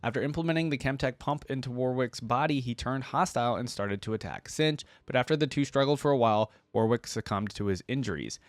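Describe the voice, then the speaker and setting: A man hosting a gaming reaction video, talking casually and thinking out loud as he comments, addressing his viewers informally. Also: medium pitch, fairly quick, few pauses, no echo. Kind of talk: casual reaction commentary, some reading aloud.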